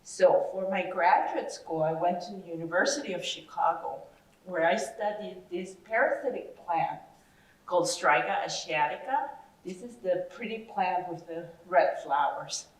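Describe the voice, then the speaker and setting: A woman with a fairly low voice for a woman, telling her story in a friendly, hesitant way. Her voice sounds echoey, picked up from some distance. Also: animated, moderate pace. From Ecuador. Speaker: chemistry professor. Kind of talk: presentation to young people. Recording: echoey room, distant mic.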